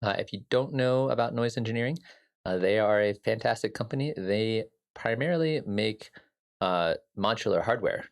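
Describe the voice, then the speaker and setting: A man's voice: on the low side, moderate pace, vocal fry. A man with a slightly scratchy voice hosting a casual music production livestream, rambling easily with many fillers and greeting viewers in chat. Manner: talks to viewers by name and answers chat. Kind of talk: casual live-stream chat.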